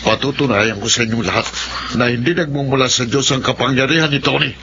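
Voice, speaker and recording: A man's voice: mid-range voice, fast, natural voice. An actor playing a stern, righteous and determined father in a horror radio drama, speaking firmly and earnestly. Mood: firm, indignant. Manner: firm, earnest. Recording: muffled, noisy radio drama.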